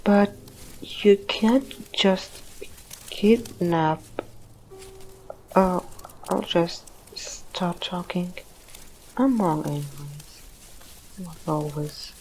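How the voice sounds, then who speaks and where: A woman's voice: on the low side, very slow, long pauses, a little mellow, slight background noise, rough recording. A woman voicing a shy, self-doubting ghost in an audio drama, hesitant and trailing off. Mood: dejected.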